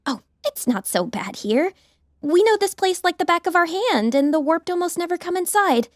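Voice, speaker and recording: An eager young college woman, in an audiobook drama. Her voice sits high, with a bubbly, chatty, earnest manner and a close, dry sound. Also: very animated, moderate pace, no room sound. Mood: friendly, eager. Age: young adult.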